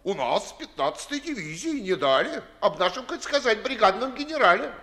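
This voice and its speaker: A man's voice: high voice, big pitch swings, normal speed, bright, forceful tone, exaggerated voice. A man playing a blustering, dim, self-satisfied army colonel in a 1950s radio verse comedy, declaiming bluntly and pompously.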